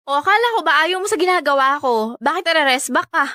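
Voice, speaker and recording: Young Filipina mistress, high-pitched, defiant, whiny, exclaiming, radio drama, dry studio sound. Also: female voice, expressive, fast, sharp tone, no echo. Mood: impatient. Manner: brazen, defensive, exclaiming.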